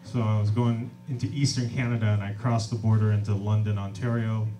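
A man in his thirties talking casually and warmly between songs at a small club gig, relaxed and self-deprecating, laughing often. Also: fairly low pitch, fairly quick, background noise. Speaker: singer-songwriter and label owner. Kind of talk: stage banter between songs. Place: small crowded club venue, indoors. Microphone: stage vocal mic on stand, recorded from audience. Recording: distant live room recording. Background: acoustic guitar, audience.

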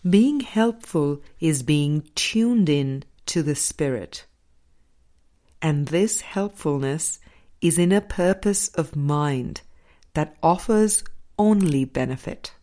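A woman narrates a spiritual audiobook at a very unhurried pace. Her voice sits a little on the low side, and she phrases each thought deliberately, leaving pauses between them. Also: mellow. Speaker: audiobook reader.

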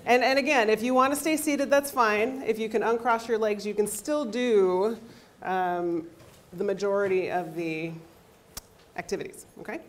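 A woman in her thirties teaches a health class in a university lecture hall, speaking into a handheld microphone. Her voice is loud and energetic, at a middle pitch. She keeps checking in with the audience as she explains. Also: normal speed, slight background noise. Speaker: athletic trainer and lecturer.

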